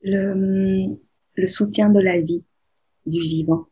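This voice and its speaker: A woman in her forties, a therapist, giving slow, reflective interview answers with many pauses and hesitations. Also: medium pitch, flat delivery, warm tone, muffled recording. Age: 40s. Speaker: therapist guest.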